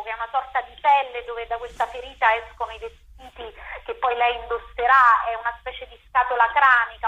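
Casting: woman, theatre director being interviewed, bright and slightly high voice, thoughtful with repeated words. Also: lo-fi sound. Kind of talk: radio interview, explaining own work.

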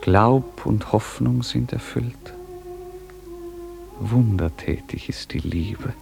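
A man narrating a literary radio drama and reciting verse in a solemn, poetic, measured manner. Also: medium pitch, gravelly, over music.

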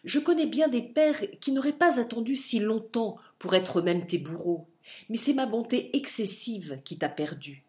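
A woman's voice: on the high side, animated, moderate pace, a little mellow, voice pushed up, lightly acted. Woman reading as a stern, unbending old father. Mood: severe. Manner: severe, curt.

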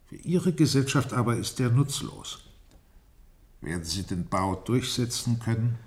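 A man playing a formal, firm spokesman of a community in a scripted German radio play, speaking deliberately, courteous but insistent. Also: mid-range voice, expressive. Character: formal, firm male spokesman of a primitive tower-obsessed community.

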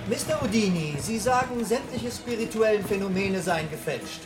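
A man's voice: high voice, expressive, normal speed. An actor playing a formal, probing male politician questioning a witness at a hearing in a German radio drama. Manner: formal, pressing. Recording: music behind voice.

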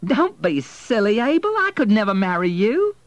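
A man acts out a young Southern lady from a novel in a raised, lilting voice. She is prim and haughty, brushing people off with brisk condescension. The pitch swings widely. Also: very animated, no room sound, lightly acted. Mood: scornful.

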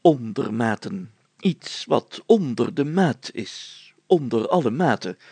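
A man voicing a self-important village mayor in a story, halting and hemming, given to solemn declaiming. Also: mid-range voice, sing-song, a little mellow, slightly rough, no room sound, exaggerated voice. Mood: assured, self-important. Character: pompous village mayor reading old documents aloud, self-important, deliberate.

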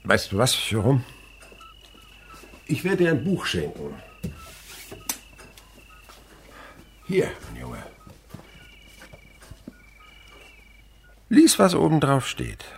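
An actor playing a kindly older naturalist in a radio play, talking in a gentle, musing storyteller's way. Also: male voice, mid-range voice, wildly swooping pitch, unhurried, vocal fry, exaggerated voice. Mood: warm, encouraging. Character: kindly older French naturalist, widower, indulgent with a boy. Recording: faint background noise, night insects chirping. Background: crickets and animal sounds.

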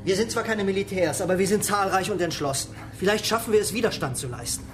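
A man's voice: high voice, fast, music in the background, close to an everyday voice. An actor playing a wary, resolute male organiser among spaceship fugitives in a radio drama. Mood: grave, determined. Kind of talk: German radio drama acting.

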